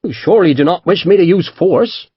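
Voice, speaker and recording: A man's voice: sits high, brisk, husky, dry recording, dull audio, pitched above the performer's own voice. A man voicing a friendly, courteous naval captain with a Devonshire accent. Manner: courteous and breezy.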